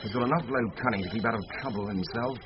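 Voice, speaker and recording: Man playing quick-talking, sardonic, grumbling schoolmaster. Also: mid-range voice, close to an everyday voice. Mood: sardonic, resentful. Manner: sardonic, emphatic. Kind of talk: radio drama dialogue. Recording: muffled, noisy old radio recording.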